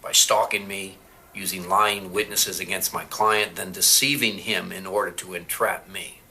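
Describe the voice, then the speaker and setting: Man acting sharp, low-voiced crooked lawyer. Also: fairly even intonation, normal speed, a little hiss or hum, full character voice. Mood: cool, defiant. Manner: argumentative, sardonic. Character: corrupt, defiant veteran male defense attorney. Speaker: actor in an audio drama. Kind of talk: dramatic audio drama acting.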